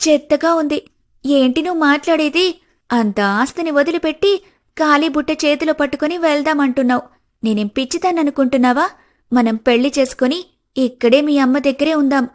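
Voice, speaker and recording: A woman playing a coy, sharp-tongued, money-minded young woman in scripted cartoon story dialogue. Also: sits high, brisk, natural voice. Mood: scornful.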